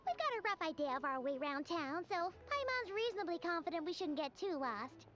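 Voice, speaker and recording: A woman voicing a tiny, chirpy, excitable fairy-like girl companion in a video game, chatty and childlike. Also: a little fast, full character voice. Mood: upbeat. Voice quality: childlike, squeaky. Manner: refers to herself in third person, chatty, exclaiming. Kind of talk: video game character acting. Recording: muffled game audio under music.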